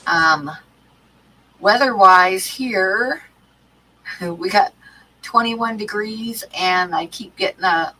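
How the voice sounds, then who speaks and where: A woman's voice: medium pitch, very slow, long pauses, sharp tone, a little hiss or hum, poor audio. A woman with a stuffy cold hosting an art livestream, chatting casually with many ums and restarts. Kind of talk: casual chat to live chat.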